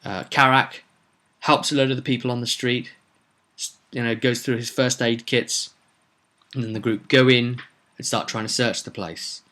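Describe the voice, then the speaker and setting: Male storyteller, mid-pitched, informal and halting, recapping a roleplay game session conversationally. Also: steady tone, pushed and bright.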